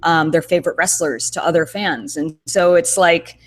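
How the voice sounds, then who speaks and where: A woman's voice: sits low, normal speed, slight background noise. A woman co-hosting a casual podcast chat over a video call, talking in a thoughtful, rambling way with many fillers and restarts. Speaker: co-host.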